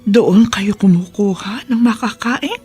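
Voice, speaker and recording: A woman acting a middle-aged, loving but firm mother in a Filipino radio drama, with a breathy, husky voice and an emotional, pleading manner. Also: medium pitch, unhurried, mellow, natural voice. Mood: gentle concern. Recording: background music under the voice.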